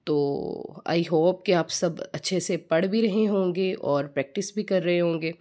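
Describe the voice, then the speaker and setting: A woman with a slightly hoarse throat, giving a chatty home tutorial for mothers that mixes in English words. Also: lowish voice, a little slow, dark tone. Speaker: home teaching channel host. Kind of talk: casual home tutorial talk.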